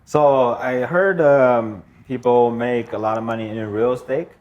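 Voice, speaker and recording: A man's voice: medium pitch, fairly even intonation, unhurried, a little mellow, lo-fi sound. A man in his thirties from China with a Chinese accent, speaking plain, slightly broken English as an interview guest. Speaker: guest, land investor. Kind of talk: casual interview.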